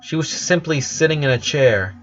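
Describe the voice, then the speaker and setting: A man reading a story aloud as an expressive narrator, voicing dialogue between passages of prose. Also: on the high side, moderate pace, muffled recording. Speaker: narrator reading fan fiction.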